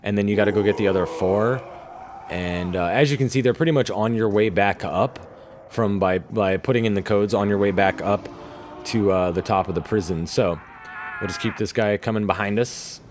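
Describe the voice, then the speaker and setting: A man's voice: on the low side, moderate pace, noisy background. A man giving casual, laid-back and sometimes sweary commentary over his own video game play. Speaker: gamer narrating his own gameplay video.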